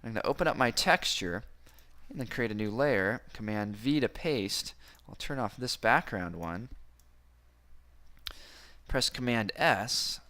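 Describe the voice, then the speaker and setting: A man teaching a software tutorial who sounds enthusiastic as he talks through each click, and his voice is slightly hoarse from a cold. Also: mid-range voice, expressive, unhurried, pauses often. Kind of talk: screen-recorded software tutorial.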